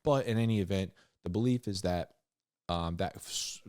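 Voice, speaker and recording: A man hosting a Bible reading, mixing scripture with informal, slangy commentary and animated asides. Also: deep voice, normal speed, clean tone, no echo, studio quality. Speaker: host of a Bible reading show.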